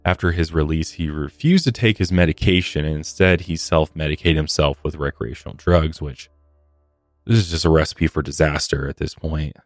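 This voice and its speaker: A man's voice: very deep voice, moderate pace, no room sound. A man co-hosting a podcast, narrating a true crime story. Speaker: podcast co-host. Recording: dry close studio sound, music underneath. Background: background music.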